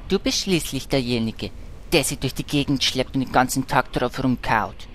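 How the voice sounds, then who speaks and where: A low-voiced huntress played by a woman bickers snappily and defensively. She sounds exasperated, in a fantasy parody radio play with faint noise behind. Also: moderate pace. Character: exasperated bickering female hunter. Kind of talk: German audio drama parody acting.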